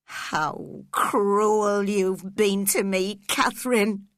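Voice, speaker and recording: A woman's voice: lowish voice, slow, no echo, studio quality, heavily acted. A woman reading a story voices a fierce, tormented young man crying out to his dying love. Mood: anguished. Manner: wild, pleading, then hushed.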